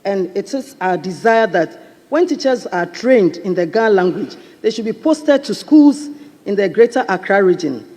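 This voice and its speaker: High-voiced Ghanaian man in a parliament. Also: big pitch swings, normal speed, a little mellow. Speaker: member of parliament. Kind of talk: formal parliamentary speech. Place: large parliament chamber. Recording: long reverb of a large chamber.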